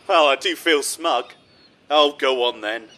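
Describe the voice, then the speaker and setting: An actor playing a grudging, sardonic boyfriend in a radio comedy, with a reluctant, sighing manner and faint noise behind him. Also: male voice, on the high side, takes it slowly, pushed and bright. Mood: grudging, smug.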